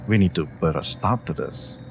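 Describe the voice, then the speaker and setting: Man playing a deep, haughty wolf familiar. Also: faint music behind, natural voice. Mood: dry, concerned. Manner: dry, smug, sharp-tongued, deliberate. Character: dry, haughty, clever male wolf familiar of a mage. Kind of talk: scripted audio roleplay acting.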